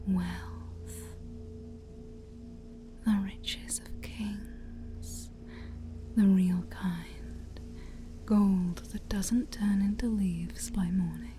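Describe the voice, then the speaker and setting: A woman playing a shy, scheming demon princess of a dark forest in an ASMR roleplay, soft, breathy and mostly whispered, with coaxing, formal speech and playful asides. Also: medium pitch, steady tone, extremely slow, pauses often, soft background music. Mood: seductive, grand. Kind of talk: ASMR roleplay addressed to the listener.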